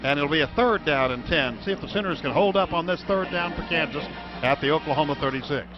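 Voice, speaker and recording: High-pitched American football radio announcer, man. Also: fast, over music. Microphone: broadcast booth microphone. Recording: dull radio broadcast, loud stadium background noise.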